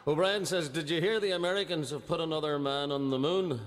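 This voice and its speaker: A man's voice: fairly high pitch, a little fast. A man telling a long stand-up joke, acting out the people in it. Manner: acts out characters in a lilting storyteller's voice. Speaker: comedian telling jokes. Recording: played-back stand-up set with hall reverb.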